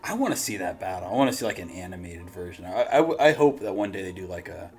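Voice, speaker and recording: A man hosting a reaction channel in casual, slangy, excitable chat with some swearing. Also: low-pitched, fast talker, husky. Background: background music.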